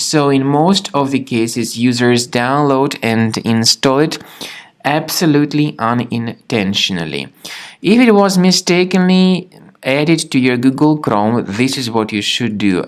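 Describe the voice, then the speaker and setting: A man with a medium-pitched voice narrates a how-to tutorial. He speaks in deliberate, halting phrases, as if partly following a script. The delivery is instructional and step by step.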